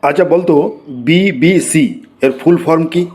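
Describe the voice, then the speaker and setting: A man voicing an excitable, exasperated schoolteacher who scolds and exclaims in a comic cartoon. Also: fairly high pitch, normal speed, natural voice. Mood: quizzing. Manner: scolding, exclamatory, mock-praising. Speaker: cartoon voice actor. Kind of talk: comic Bengali cartoon dialogue.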